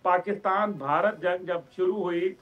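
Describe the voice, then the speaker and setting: Male guest pundit, Pakistani accent, high-pitched, emphatic explainer, muffled low-fidelity sound. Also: steady tone, moderate pace. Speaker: guest commentator, organisation chairman. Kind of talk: television political commentary.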